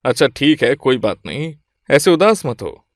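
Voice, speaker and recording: A man's voice: medium pitch, sing-song, moderate pace, no echo, clean studio sound, close to an everyday voice. A man voicing an easygoing, friendly adult son in a moral story, light and good-natured. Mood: reassuring. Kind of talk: Hindi moral-story character acting.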